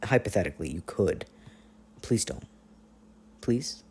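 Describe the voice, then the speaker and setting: A man playing an affectionate, playful boyfriend on a long-distance phone call, in intimate, casual ASMR roleplay with gentle teasing and hesitant pauses. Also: on the low side, expressive, husky, exaggerated voice. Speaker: audio roleplay performer.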